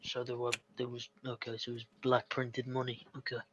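A man's voice: mid-range voice, completely flat delivery, brisk, highs cut off, noisy background. A man speaking as a lawyer in improvised online roleplay dialogue. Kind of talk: improvised in-character game dialogue.

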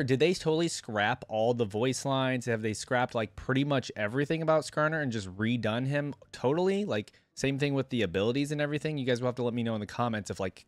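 A man's voice: mid-range voice, no echo. A young man hosting a reaction video, switching between casual commentary and reading aloud at a desk microphone. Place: indoor room at a desk. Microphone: desk microphone close up, with headset on.

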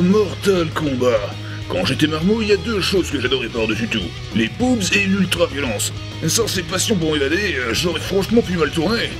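High-pitched ironic young man reviewing. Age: 20s. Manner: ironic, slangy. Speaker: host of a comedy review show. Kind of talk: scripted humorous review narration.

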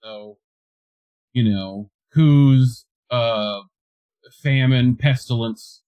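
A man's voice: mid-range voice, very slow, mellow, clean tone. A male co-host chatting casually on a news stream, teasing his partner and making wry remarks. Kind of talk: casual commentary. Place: home studio, indoors.

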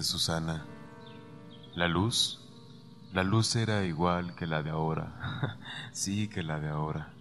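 Man acting imperious old landowner, very low voice, grieving and hard, radio drama, clear musical backing. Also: fairly bright tone.

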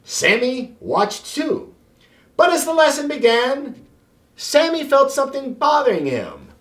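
Animated, high-pitched man reading a storybook. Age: middle-aged. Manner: expressive, theatrical, deliberate phrasing. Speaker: children's storybook reader. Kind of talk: animated read-aloud for children. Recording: roomy, echoing sound.

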